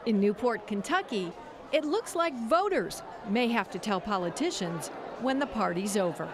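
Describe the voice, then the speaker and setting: A woman's voice: medium pitch, animated, moderate pace, crowd noise. A woman with an American accent delivering a scripted TV news report as an investigative reporter, with pointed questions.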